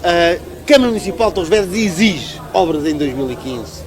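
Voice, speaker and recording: High-voiced Portuguese man, crowd behind. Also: animated, normal speed. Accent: European Portuguese. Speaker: local politician being interviewed. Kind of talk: conversational political interview.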